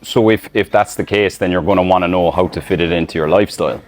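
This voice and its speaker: Irish male fitness coach, deep low voice, casual selfie-video advice, loose and filler-heavy. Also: quick pace. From Ireland. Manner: loose, rambling, many fillers and restarts. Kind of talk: casual talking-to-camera advice. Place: outdoors in public, filming selfie-style. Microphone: phone camera in selfie mode.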